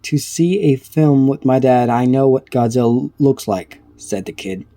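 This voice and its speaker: A man giving casual reaction commentary, reading aloud haltingly and stumbling over words between offhand remarks. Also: medium pitch, mellow. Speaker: reaction video creator.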